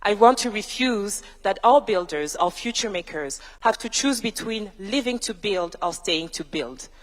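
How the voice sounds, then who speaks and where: A woman speaks from a stage with measured emphasis, stacking her points into rhythmic lists. Her mid-range voice carries through a large hall, and the long reverb trails each phrase. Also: medium pitch, animated. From France. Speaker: tech founder, panel speaker. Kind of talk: prepared keynote-style panel answer.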